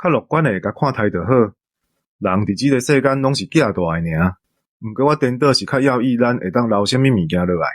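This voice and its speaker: A man with a Taiwanese accent talking calmly and reflectively in conversation. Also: medium pitch, a little fast, no echo.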